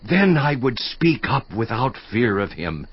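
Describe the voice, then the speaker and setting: An actor playing a bitter, suffering man who pleads with God in a dramatized scripture reading, swinging from loud cries to whispers. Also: mid-range voice, very animated, a little slow, husky, dry recording, dull audio. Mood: anguished.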